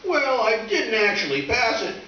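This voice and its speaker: High-pitched mischievous teenage schoolboy, echoey. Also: male voice, very animated, normal speed, bright, forceful tone, full character voice. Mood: grandly cheerful. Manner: mock-pompous, flowery, eager delivery. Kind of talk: old-time radio comedy acting. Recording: echoey stage from far off, dull, hissy.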